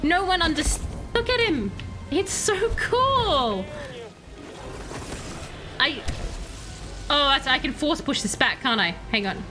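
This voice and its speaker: A woman streaming a game live, chatty and excitable, answering her chat, her voice slightly hoarse. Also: high-pitched, takes it slowly, long pauses, music in the background. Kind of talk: live gameplay commentary.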